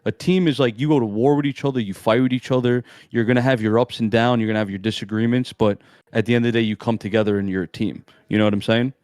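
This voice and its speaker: A young man in casual interview chat, talking in a strong monotone, laid-back and slangy, rambling with restarts. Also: mid-range voice, quick pace, no echo, clean studio sound. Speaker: amateur esports player, guest.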